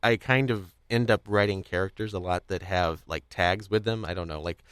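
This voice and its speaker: A man's voice: sits low, moderate pace, a bit bright, clear, resonant tone, no room sound, studio quality. A man hosting a podcast in casual conversation, speaking in a hesitant, rambling, stop-start way. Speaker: podcast host. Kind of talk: casual discussion of audio drama.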